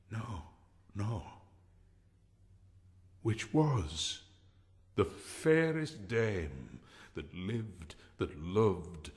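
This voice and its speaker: An actor playing a hammy amateur thespian as a doomed lover, declaiming Shakespearean verse melodramatically in a radio drama. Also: male voice, on the low side. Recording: echoey hall with long reverb.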